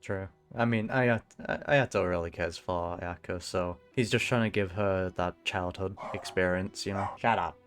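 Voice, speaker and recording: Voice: low-pitched, normal speed, hardly any pauses. A game streamer giving casual, slangy commentary on a playthrough with offhand swearing. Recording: commentary over game music.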